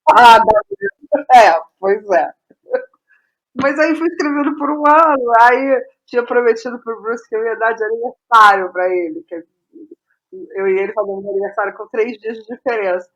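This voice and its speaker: A Brazilian woman in a casual interview as a guest playwright, speaking haltingly and repeating phrases as she thinks aloud. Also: fairly high pitch, fairly bright tone, muffled recording.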